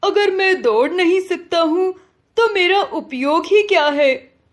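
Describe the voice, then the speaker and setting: A woman's voice: very high-pitched, animated, takes it slowly, pitched above the performer's own voice, heavily acted. A woman voicing a sad, hurt young male horse in an earnest, plaintive way for a children's moral story. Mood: hurt, tearful.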